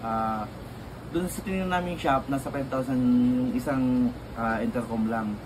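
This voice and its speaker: A man talks through an unboxing in a loose, chatty way, with plenty of fillers and laughs. His voice sits at an ordinary middle pitch. Loud noise and vehicle sounds run behind him. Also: normal speed. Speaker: moto vlogger. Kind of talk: casual unboxing vlog.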